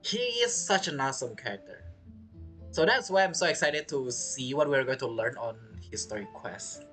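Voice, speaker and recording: A man's voice: mid-range voice, lively intonation, moderate pace, fairly bright tone, soft background music. A man giving casual playthrough commentary as a gaming streamer, chatty and musing aloud.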